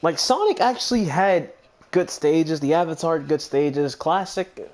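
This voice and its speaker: A man's voice: on the high side, expressive, a little slow, darkish tone. A young man giving offhand, chatty, profane commentary on a video game playthrough, with game music behind him. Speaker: gaming video host.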